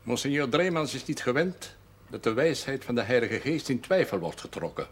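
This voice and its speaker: Husky, formal elderly parish priest. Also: male voice, medium pitch, animated. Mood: firm, authoritative. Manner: measured, formal speech sprinkled with French phrases. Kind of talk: 1970s television drama acting.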